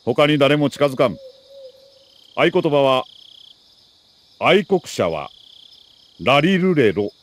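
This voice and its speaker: An actor playing a middle-aged army major who briefs an agent in a crisp, authoritative, deliberate way in a video game. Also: male voice, on the high side, big pitch swings, heavily acted. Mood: grave, commanding. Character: male military major, mission commander, precise and earnest. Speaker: mission commander briefing an agent.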